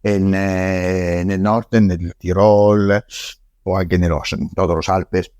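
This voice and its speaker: A man from Italy with a clear Italian accent, speaking in a lowish voice on a dry, close recording. He talks as a guest in a relaxed podcast chat, pausing often with fillers and restarting his sentences, yet keeps an eager tone. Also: no echo. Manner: halting, many fillers and restarts. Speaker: guest scientist. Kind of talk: casual podcast interview.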